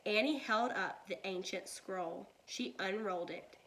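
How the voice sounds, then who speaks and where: Lady reading a kids book out loud, slow and expressive, bright teachery voice. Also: mid-range voice, a little hiss or hum, rough recording. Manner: deliberate, animated storytelling for children. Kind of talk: expressive children's story read-aloud.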